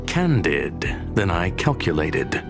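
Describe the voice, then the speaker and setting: Courteous machine intellect, low pitch, lively intonation, formal precise phrasing, analytical, composed, video game drama, music underneath. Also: male voice, slow, creaky, full character voice. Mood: composed, reflective. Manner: formal, precise, clipped one-word prefaces. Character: courteous male robot genius, logical, composed, analytical. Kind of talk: theatrical video game cutscene dialogue.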